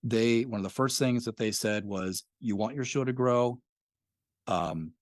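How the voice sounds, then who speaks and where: A man's voice: mid-range voice, measured pace, dry recording, clean studio sound. A middle-aged man, a podcast creator, talking casually as a guest, reflective and full of fillers. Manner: reflective, rambling with many fillers. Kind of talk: casual interview conversation.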